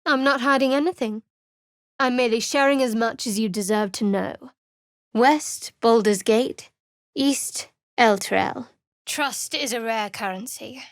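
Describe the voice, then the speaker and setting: Guarded, sardonic young dark cleric. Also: female voice, a little high, unhurried, no room sound, studio quality. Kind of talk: video game character dialogue.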